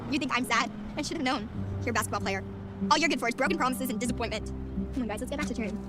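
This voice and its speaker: A woman playing a peppy, proud teenage girl cheer captain in a web drama, brisk and assertive. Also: a little high, close to an everyday voice. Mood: hurt, indignant. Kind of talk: scripted web drama acting. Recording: loud music behind the voice.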